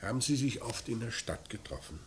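An actor playing a dry, probing police commissioner in a German radio crime play, questioning in a terse deadpan way. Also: male voice, mid-range voice, fairly quick, close to an everyday voice. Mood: probing, restrained. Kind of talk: mid-century German radio play acting. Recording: noisy vintage radio drama recording.

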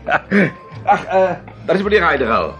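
An actor playing an obliging, flustered restaurant host in a radio drama, effusive and repeating himself. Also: male voice, on the high side, big pitch swings, normal speed, bright, forceful tone, dull audio, faint music behind. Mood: eager, servile. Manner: effusive, repetitive.

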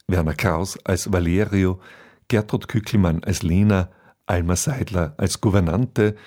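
A man's voice: low-pitched, warm tone. A man reading a formal scripted announcement as a radio announcer.

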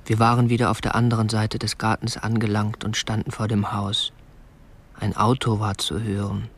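An actor playing a meek, obsessive young kidnapper who narrates a radio play in a restrained, earnest, stiffly polite way. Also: male voice, lowish voice, completely flat delivery, normal speed. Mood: stiff, confessional. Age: young adult. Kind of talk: radio drama narration and dialogue.

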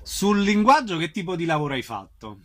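A man's voice: sits high, big pitch swings, fairly quick. A man hosting a radio interview, announcing shows and asking short questions.

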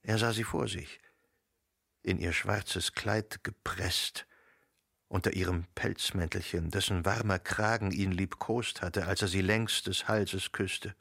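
A man reading literary narration for a radio drama in a measured storytelling manner. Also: sits low, fairly even intonation, gravelly, fairly bright tone, husky, no room sound, clean studio sound. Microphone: close studio microphone.

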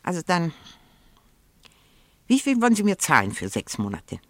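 An older woman playing a shrewd, imperious, grasping old aunt in a radio play, speaking with haughty, sharp-tongued deliberation. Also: lowish voice, huge pitch swings, moderate pace, no room sound. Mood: shrewd, insistent. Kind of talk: 1960s German radio play acting.

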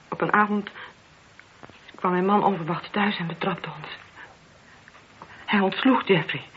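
An actress playing an anguished married woman in a radio play, speaking in a husky, halting, confessional way. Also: fairly low pitch, a little hiss or hum, close to an everyday voice. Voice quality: very husky, breathy. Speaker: voice actor. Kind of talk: Dutch radio play acting. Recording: dull, muffled old radio recording.

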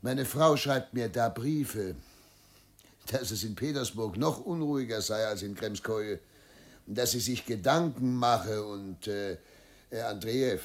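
An actor playing a troubled, middle-aged governor and father in a radio play, dutiful and self-justifying, speaking in a formal, halting way. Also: on the low side. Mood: hesitant, instructing. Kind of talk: German radio play acting.

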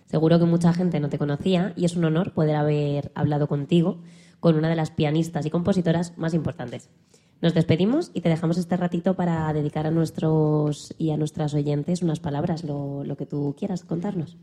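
Low, mellow woman radio interviewer. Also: fairly even intonation, brisk.

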